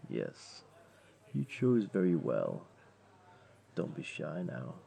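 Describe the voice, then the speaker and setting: A man's voice: deep voice, gravelly, warm tone, exaggerated voice. A man playing a wealthy, flirtatious jaguar beastling in a comforting audio roleplay, tender and teasing, with a coaxing, purring delivery. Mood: smooth, inviting.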